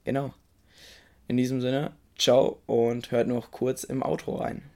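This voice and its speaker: A young man hosting a podcast, slightly hoarse from a cold, recommending a book in a casual, enthusiastic way and talking straight to the listener. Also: medium pitch, flat delivery, measured pace, darkish tone. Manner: enthusiastic, informal, addresses the listener directly. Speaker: podcast host.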